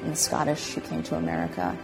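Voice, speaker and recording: A person telling a personal immigration story in a plain, matter-of-fact way. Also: male voice, a little high, big pitch swings, quick pace, vocal fry, over music, poor audio. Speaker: interviewee. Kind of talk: personal testimony.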